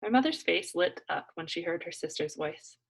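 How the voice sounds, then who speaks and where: A woman narrating a children's story as a read-aloud, in an expressive storytelling style. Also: on the low side, normal speed, creaky.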